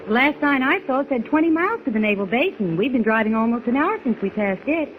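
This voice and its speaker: An actress playing a spirited, sceptical newspaper reporter in 1940s radio drama style, questioning and emphatic, on a thin, hissy old recording. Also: female voice, fairly high pitch, expressive, quick pace, close to an everyday voice, low-quality recording. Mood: doubtful.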